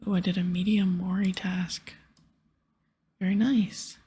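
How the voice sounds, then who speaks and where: A woman hosting an ASMR game playthrough, softly spoken and often whispering in a breathy, soothing, unhurried way. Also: fairly low pitch, level tone. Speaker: host of a gaming video series.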